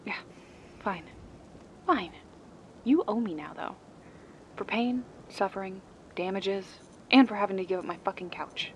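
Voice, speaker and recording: A woman's voice: medium pitch, unhurried, pauses often, dull audio, slight background noise, close to an everyday voice. A woman playing a sarcastic female private detective in a noir ASMR roleplay, with a dry, casually streetwise delivery. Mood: annoyed, sarcastic. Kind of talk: noir ASMR audio roleplay, intimate second-person acting. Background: music, rain and city ambience.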